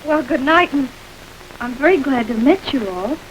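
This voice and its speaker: A woman's voice: sits high, lively intonation, normal speed, background noise. An actress playing a trusting young woman about to be married, earnest and hopeful, in a 1930s crime film. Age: young adult.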